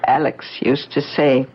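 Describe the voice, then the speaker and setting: An actress in a 1970s radio drama playing a remorseful wounded wife, speaking haltingly and wistfully in a husky voice. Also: female voice, deep voice, slight background noise. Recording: dull, lo-fi 1970s radio recording.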